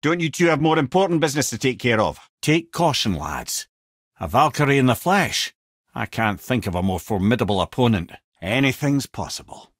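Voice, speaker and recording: A wry old Scottish sage, a talking severed head from a fantasy video game. His voice is husky and rough-edged, swinging widely in pitch as he spins lore with eloquent, theatrical relish. The sound is close and dry. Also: big pitch swings, moderate pace, no room sound. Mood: wry, lively. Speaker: companion and guide. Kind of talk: video game character dialogue and lore storytelling.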